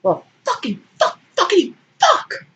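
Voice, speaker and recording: Woman acting as hot-headed guy, high shrieky pitch, foul-mouthed, exasperated, comic story reading. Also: wildly swooping pitch, unhurried, a bit bright, dull audio, full character voice. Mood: furious. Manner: exclaiming, swearing, exasperated. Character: loud, hot-tempered, foul-mouthed, flustered man.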